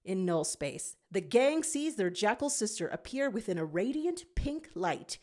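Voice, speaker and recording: A woman reads scripted fiction aloud as narrator. Her pitch is mid-range and moves widely, giving the storytelling an expressive, animated feel. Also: measured pace.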